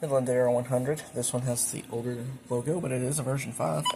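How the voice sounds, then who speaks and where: Guy doing a casual hobby vlog at home, bit halting, some faint noise behind him. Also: medium pitch, fairly even intonation, gravelly. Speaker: hobbyist video creator and host. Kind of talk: casual show-and-tell narration of a radio collection. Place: indoors at home.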